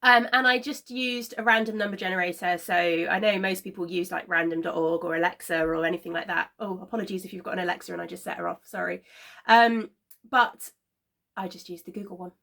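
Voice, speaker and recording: A woman in her thirties hosting a knitting podcast, chatting casually to camera from her sofa at home, slightly congested from a cold and filling pauses with frequent ums. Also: fairly low pitch, steady tone, gravelly, a bit bright. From Scotland. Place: living room at home, seated on a sofa.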